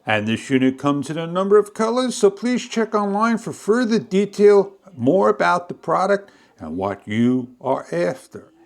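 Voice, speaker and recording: A man narrating a step-by-step product demonstration in a deliberate, phrase-by-phrase instructional way. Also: sits high, very animated. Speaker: product reviewer.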